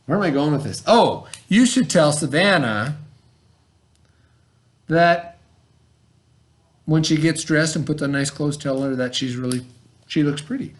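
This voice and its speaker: A male schoolteacher with a slightly high voice gives an informal read-aloud lesson. He explains in a conversational way, as if to a child, and often checks that the listener is following. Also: animated, measured pace, long pauses.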